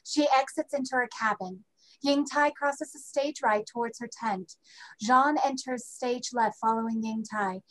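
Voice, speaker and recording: A woman's voice: on the high side, measured pace, a bit bright, rough recording. A woman reading stage directions as scripted narration in a play reading on a video call. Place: home room on a group video call.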